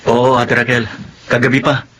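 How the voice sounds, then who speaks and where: A man's voice: mid-range voice, level tone, moderate pace, fairly bright tone, slight background noise, natural voice. An actor playing an easygoing, generous young man in a Filipino drama, speaking casually. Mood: cheerful. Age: young adult. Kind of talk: Filipino radio-style drama acting.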